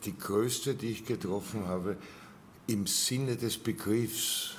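Deep-voiced older man, reflective author. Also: takes it slowly, slight background noise. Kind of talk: panel discussion at a reading.

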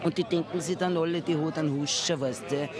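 A woman's voice: sits low, steady tone, fairly quick. A woman with a Salzburg Austrian accent, talking casually and wryly in colloquial speech in an interview. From Saalfelden, Salzburg region, Austria. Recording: clear crowd chatter behind. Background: crowd.

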